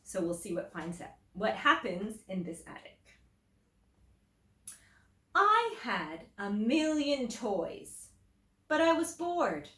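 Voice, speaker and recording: A woman teacher reading a picture book aloud to children, explaining simply and deliberately and putting questions to her listeners. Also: a little high, sing-song, fairly bright tone. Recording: roomy echo, distant microphone.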